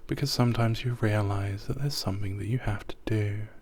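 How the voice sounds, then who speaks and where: A man guiding a sleep hypnosis, talking softly and often half in a whisper, rambling and repeating himself. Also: on the low side, a little fast, husky.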